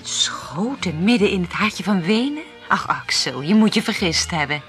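Teasing flirtatious spy in a radio play, lowish voice, clear music behind. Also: female voice, lively intonation, normal speed, a bit bright. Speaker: radio drama actor.

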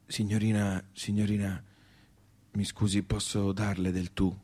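A man's voice: lowish voice, flat delivery, unhurried, mellow. An actor playing a nosy, familiar provincial man with a colloquial Tuscan accent in comic radio theatre. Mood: pushy, coaxing. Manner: colloquial, insistent.

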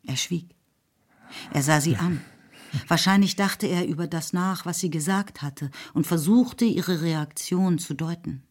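Low-voiced woman narrating a literary drama. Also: a little slow, a little mellow.

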